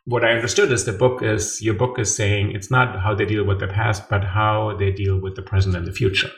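A man in his fifties from Germany, a native German speaker, interviewing in a conversational, halting way that often rephrases. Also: lowish voice, flat delivery, creaky, a bit bright. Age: 50s. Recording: roomy, echoing live room.

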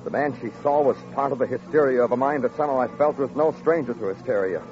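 An actor playing a world-weary police detective, speaking in clipped sentences in an old radio crime drama. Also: male voice, mid-range voice, quick pace, close to an everyday voice. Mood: brooding narration. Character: low-key, world-weary police detective who narrates his cases. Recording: dull old radio recording, music behind.

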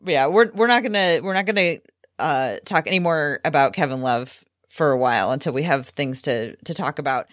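A woman's voice: deep voice, normal speed, no room sound, clean studio sound. A woman hosting a sports podcast, chatting casually about basketball with wry asides.

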